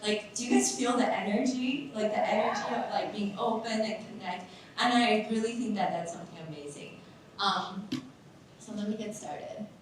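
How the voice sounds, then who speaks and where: A woman's voice: mid-range voice, normal speed, a little hiss or hum. A woman in her thirties from Taiwan giving a chatty conference talk, full of fillers and restarts. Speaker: summit speaker, nonprofit founder. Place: large echoey conference hall. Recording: echoey hall, voice from far off.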